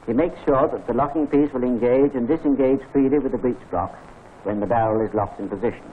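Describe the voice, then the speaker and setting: A British man giving measured, formal narration for a wartime training film. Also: mid-range voice, breathy edge. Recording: tinny 1940s film soundtrack with faint hiss.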